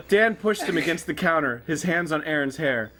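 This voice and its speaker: A man co-hosting a charity stream, joking around and reading fan fiction aloud in an excitable way. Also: on the high side, background noise. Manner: excitable, jokey, quick. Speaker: stream co-host.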